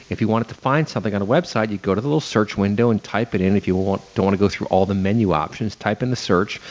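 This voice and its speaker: A man with a fairly low voice hosts talk radio. He is chatty and folksy, talking to listeners conversationally and posing rhetorical questions. The sound is a little muffled. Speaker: radio show host.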